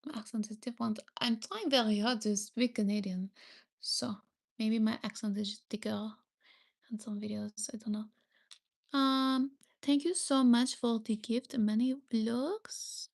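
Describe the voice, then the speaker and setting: Woman, young adult, live streamer, gravelly fry, fairly high voice, hesitant, full of ums. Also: fairly even intonation, a little slow. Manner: chatty, thanks viewers often, hesitant with many ums. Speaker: livestreamer. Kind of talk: casual live stream chat, answering viewer comments.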